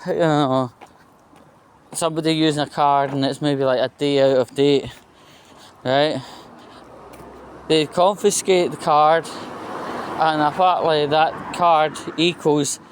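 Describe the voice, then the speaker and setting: Male Scottish vlogger, casual and halting, slow delivery with an aggrieved edge, faint ambient noise behind. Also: on the high side. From Scotland. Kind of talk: rambling casual monologue, telling an anecdote.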